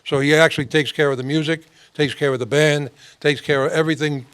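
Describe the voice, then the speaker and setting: Male host, upper-middle pitch, loose repetitive chat with laughs. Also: fairly even intonation, quick pace, fairly bright tone, clean tone. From New York. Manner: laughs often, repeats himself. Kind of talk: casual interview chat.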